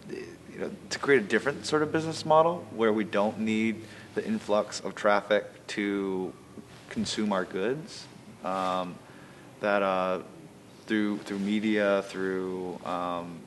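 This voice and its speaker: A man's voice: low-pitched, slight background noise. A man, an arts organizer, answering an interview in a rambling way full of fillers. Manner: rambling, heavy use of fillers like you know and um.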